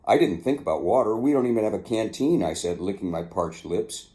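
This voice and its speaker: An older man telling stories from his own memoir, with an unhurried, halting delivery. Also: fairly low pitch, animated, dark tone. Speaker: author narrating own memoir. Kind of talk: casual storytelling, then reading aloud from a book.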